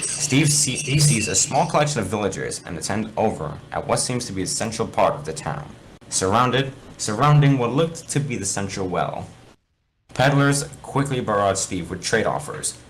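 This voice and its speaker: A man with a lowish voice reads a story aloud for friends. His pitch swings widely, and he trips on words and fixes them as he goes. There is faint noise behind him. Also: animated, moderate pace, rough recording. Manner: stumbles and corrects words while reading. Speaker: reader of a fan fiction story. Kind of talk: informal read-aloud narration among friends.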